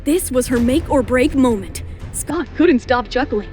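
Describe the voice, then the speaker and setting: A female narrator reads scripted drama in a high voice. Her tone is mellow and dark-edged, with faint music behind it. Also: expressive, unhurried.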